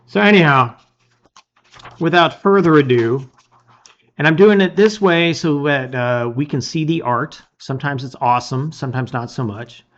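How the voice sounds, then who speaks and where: Expressive man, slightly dull recording. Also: a little high, normal speed. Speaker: host reading a gamebook aloud. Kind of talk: casual intro and dramatic read-aloud of storybook narration.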